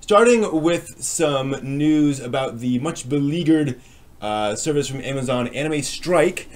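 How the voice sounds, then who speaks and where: A man hosting a live stream in a casual, chatty way, with a halting, hesitant delivery that tends to trail off. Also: fairly high pitch, lively intonation.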